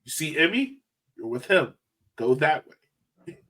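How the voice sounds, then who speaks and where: A man co-hosting a soccer fan podcast, chatting casually in a playful, animated, slangy way. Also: a little high, unhurried, far from the mic. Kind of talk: casual sports chat.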